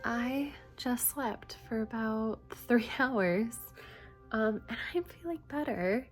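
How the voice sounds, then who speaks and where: A young woman with a cold, sounding nasal and stuffed up, chatting softly in a casual diary-style vlog and laughing between phrases. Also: on the high side, slow pace, faint music behind. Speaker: vlogger.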